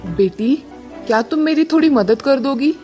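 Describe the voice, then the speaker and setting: A woman voicing a gentle, motherly magical cow in a moral-story cartoon. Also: sits high, expressive, normal speed, soft background music. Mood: pleading.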